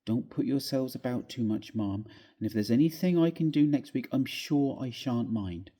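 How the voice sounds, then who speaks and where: A man's voice: mid-range voice, lively intonation, normal speed, dark tone, close to an everyday voice. A man voicing an apologetic, stubborn country housekeeper woman in a storybook reading, deferential and plain-spoken. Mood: relenting, kindly.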